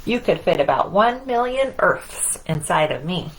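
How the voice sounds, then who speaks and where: A woman's voice: lowish voice, very animated, a little slow, pitched below the performer's own voice, low-quality recording. A woman voicing a cocky, self-important Sun in a children's picture-book read-aloud, bragging with theatrical emphasis. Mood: smug, showy.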